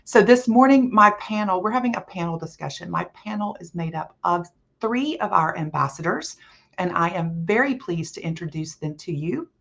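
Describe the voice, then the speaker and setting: A middle-aged woman hosting a live online panel as a coach, speaking in a friendly, measured way with some ums, over a video call. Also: on the low side, animated, creaky, dull audio. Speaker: host and coach.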